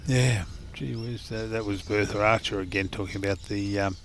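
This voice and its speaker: A man with an Australian accent doing relaxed, chatty live commentary on a bowls match, full of fillers and laughter. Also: lowish voice, normal speed, gravelly, a little hiss or hum. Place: outdoors at a bowls green.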